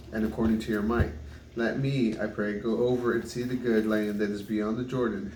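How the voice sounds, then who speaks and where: A man reads scripture aloud in a flat, even tone, stumbling now and then over hard names and correcting himself. His voice is fairly low and sounds distant in an echoey room. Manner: halting on unfamiliar names, self-correcting. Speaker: Bible reader. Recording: echoey room, distant mic, faint noise.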